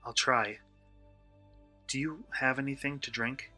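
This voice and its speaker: A man acting in a radio play as a shaken, confused, distrustful abduction victim who speaks haltingly and stammers. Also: mid-range voice, bright, forceful tone, faint music behind. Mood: uneasy.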